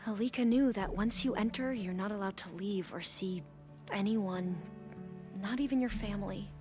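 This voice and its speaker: A woman's voice: mid-range voice, moderate pace, lightly acted. A woman playing an earnest, dutiful young scholar in a video game, with a slightly hesitant way of talking. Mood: somber, confiding. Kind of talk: video game cutscene acting. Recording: background music under the voice.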